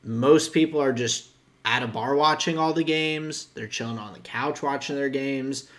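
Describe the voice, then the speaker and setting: A man's voice: mid-range voice, normal speed. A young man co-hosting a podcast, talking in a loose, rambling way with frequent restarts. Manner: rambling, restarts often, guesstimates. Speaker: podcast co-host. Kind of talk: casual chat.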